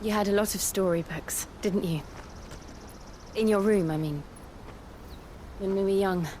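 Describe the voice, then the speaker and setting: A woman playing a composed, loyal young female companion in a video game, speaking softly and calmly. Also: mid-range voice, unhurried, a little hiss or hum, natural voice. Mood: fond, gentle. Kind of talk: dramatic video game cutscene acting.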